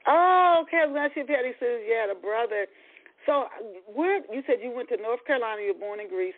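A woman hosting a podcast in radio interview style, talking in a halting way and often rephrasing. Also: fairly high pitch, expressive. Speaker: podcast host. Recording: thin, phone-like radio line.

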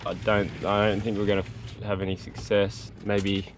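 A man's voice: lowish voice, completely flat delivery, moderate pace, a little hiss or hum. A young man, a sailing vlogger, talking in a weary, matter-of-fact way to an action camera on his boat. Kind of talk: casual vlog chat. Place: outdoors on a sailboat. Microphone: action camera at arm's length.

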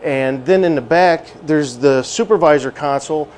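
A man's voice: fairly high pitch, moderate pace, darkish tone. A middle-aged American military man explaining procedures in an interview, in an indoor simulator room. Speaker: military air traffic control trainer. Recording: faint room ambience noise.

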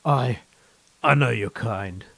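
A man's voice: medium pitch, slow, creaky, fairly bright tone, no room sound, exaggerated voice. A man voicing a bitter, accusing captive soldier in a short story. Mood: wary, halting. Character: bitter, distrustful captured young soldier, loyal zealot. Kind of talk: short story character reading.

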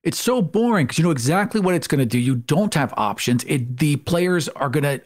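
A man with a slightly high, very animated voice. He talks casually and pushes his opinions with real emphasis. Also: fairly quick. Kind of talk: casual tabletop game discussion.

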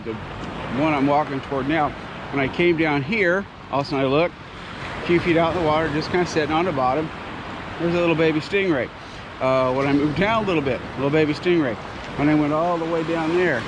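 A man in his sixties walking a beach at sunrise, chatting and telling a rambling story with playful puns into a handheld action camera. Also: a little high. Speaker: teacher vlogger. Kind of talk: casual walking vlog narration. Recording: surf noise behind. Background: ocean waves.

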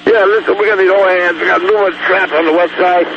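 A man's voice: high voice, steady tone, quick pace, gravelly. A man working as a firefighter at the scene, giving terse, clipped reports over a field radio. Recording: muffled, static-filled field radio.

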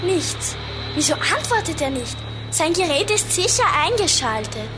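A woman playing an excitable, easily frightened little girl detective who repeats her words in a children's audio drama. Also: very high-pitched, normal speed. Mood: worried. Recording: loud music behind the voice.

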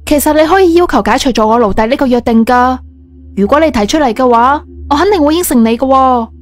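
A woman playing a bossy yet soft-hearted female company boss in an audio drama, with a Cantonese accent. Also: high voice, quick pace, natural voice. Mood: coy, insistent. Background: background music.

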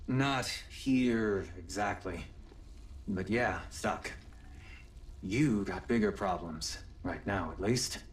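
An actor playing a weary, sardonic alternate self of a young hero in a video game, laconic and wry. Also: male voice, fairly low pitch, takes it slowly, recorded from a distance, a little hiss or hum. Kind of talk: video game cutscene dialogue.

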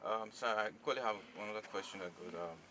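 A man asks formal, deferential questions with frequent ums. His voice is on the low side yet bright and sharp. Faint room noise sits behind him. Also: fast. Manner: polite and deferential, hesitant with ums. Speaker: interviewer. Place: small recording studio, indoors. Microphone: handheld mic.

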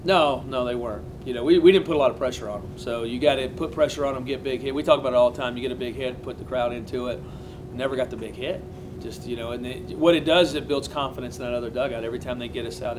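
Brisk male coach, plain talk with restarts, mid-pitched voice over press room hum. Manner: plain-spoken, many restarts and fillers. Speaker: college baseball head coach. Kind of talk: postgame press conference answers. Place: press conference room in front of a backdrop. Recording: noisy press room with rumble.

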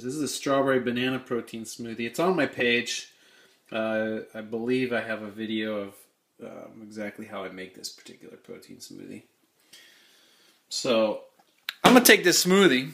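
A man's voice: lowish voice, normal speed, creaky, dry recording. A man in his thirties talking casually about his gym workout, with plenty of ums and uhs. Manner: relaxed, many ums and uhs. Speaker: fitness vlogger.

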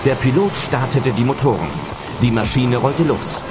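A man's voice: mid-range voice, normal speed. A man reading scripted narration for a German audio drama. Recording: loud music under the voice.

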